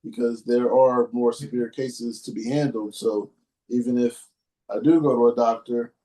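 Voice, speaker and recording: Male host, mid-range and mellow, laid-back reader. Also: mid-range voice, poor audio. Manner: laid-back, reads in a halting steady way. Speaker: podcast host. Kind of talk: casual chat and reading a listener post aloud.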